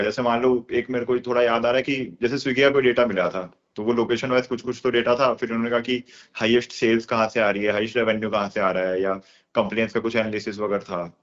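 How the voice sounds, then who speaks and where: A young man explaining things colloquially in a casual phone conversation, with many filler words. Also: medium pitch. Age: young adult. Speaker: course student being interviewed. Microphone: phone call. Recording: dull lo-fi phone call recording.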